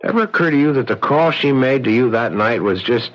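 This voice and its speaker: An actor playing a skeptical, wisecracking bartender neighbor in a 1970s radio drama, casual, sardonic and streetwise. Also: male voice, mid-range voice, expressive, a little fast, fairly bright tone, natural voice. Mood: wry, probing. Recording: dull old radio broadcast recording.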